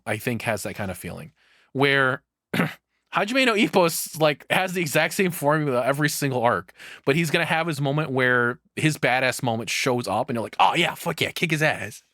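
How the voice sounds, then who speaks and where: A man's voice: medium pitch, sharp tone, no room sound, clean studio sound. A man giving casual reaction commentary as a streamer, rambling and swearing as he stops and restarts his thoughts.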